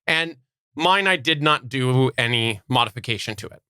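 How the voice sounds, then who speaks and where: A man with a bright, very clear voice hosts a casual technical chat. He explains things in a roundabout way, adding asides and qualifications as he goes. The sound is close and dry, as from a quiet home studio. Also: medium pitch, lively intonation, normal speed, no echo. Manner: discursive, qualifies his points with asides. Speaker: podcast host. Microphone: close studio microphone through preamp.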